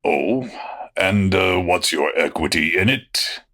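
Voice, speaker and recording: A man playing a miserly, contemptuous old rich man in a radio drama, with a gravelly, raspy voice and drawn-out taunts. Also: low-pitched, animated, bright, forceful tone, heavily acted. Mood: cold, scornful. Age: older.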